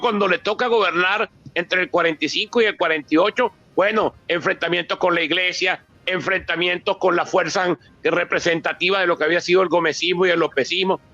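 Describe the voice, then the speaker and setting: A man's voice: sits high, bright, forceful tone, no echo, lo-fi sound. A Venezuelan man giving an extemporaneous talk on history, emphatic and anecdotal, repeating phrases as he builds his account. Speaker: author and politician speaking at a book presentation.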